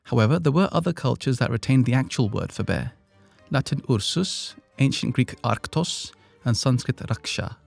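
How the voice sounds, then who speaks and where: Gravelly-voiced British man hosting a podcast. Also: medium pitch, lively intonation, normal speed, darkish tone, faint music behind. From Britain. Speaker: podcast host. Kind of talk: scripted educational narration.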